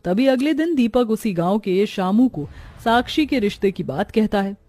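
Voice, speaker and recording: A woman's voice: medium pitch, expressive, normal speed, mellow, no room sound. A woman reading scripted narration for a moral story, as its storyteller.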